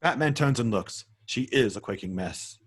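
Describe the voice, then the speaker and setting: A man's voice: medium pitch, lively intonation, normal speed, husky. A man narrating stage directions in a script table read, matter-of-fact and occasionally stumbling over words.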